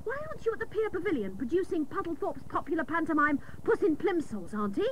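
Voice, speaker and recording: An actress playing a hapless, literal-minded female private detective, narrating a comic radio serial with mock-dramatic seriousness and deadpan jokes. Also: very high-pitched, quick pace. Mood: earnest, clueless. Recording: noisy old cassette of radio broadcast.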